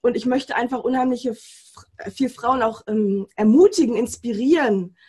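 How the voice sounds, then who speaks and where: A woman in her forties hosting an interview over a video call from home, enthusiastic and filling pauses with "ähm". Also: fairly high pitch, slightly rough. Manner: warm enthusiastic with many fillers. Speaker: interview host, mindset coach. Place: home room with bookshelf.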